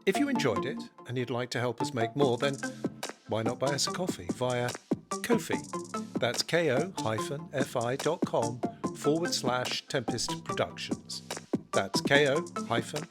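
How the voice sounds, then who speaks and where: A man's voice: medium pitch, lively intonation, a little slow. Male podcast announcer, scripted thank-you and promo read, heavy music bed throughout. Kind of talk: scripted sponsor message. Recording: loud music under the voice.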